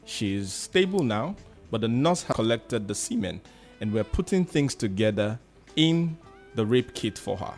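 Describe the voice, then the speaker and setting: A man playing a grave, reassuring clinic doctor in a radio drama, explaining things in a measured way with a Nigerian accent. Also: medium pitch, expressive, soft background music, lightly acted.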